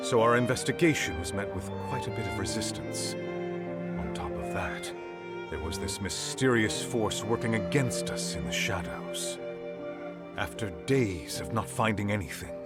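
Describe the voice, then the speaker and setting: A low, dramatic royal knight. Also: male voice. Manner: formal, dramatic. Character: formal, grave male royal court knight. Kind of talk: scripted video game character dialogue.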